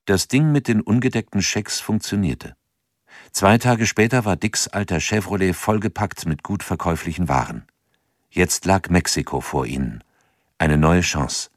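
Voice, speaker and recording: Male narrator, radio play, sober and measured, deep voice, dry sound. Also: no echo. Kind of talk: scripted narration.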